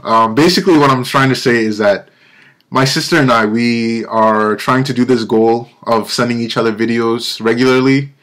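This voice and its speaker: A young man in his twenties with an American accent and English as his first language speaks in a medium-pitched voice. He talks casually to the camera like an amateur vlogger, often searching for words and restarting his phrases. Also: fairly even intonation, a bit bright. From Nigeria-born, grew up in the United States. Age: 20s. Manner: halting, searching for words, self-correcting. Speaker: amateur video blogger.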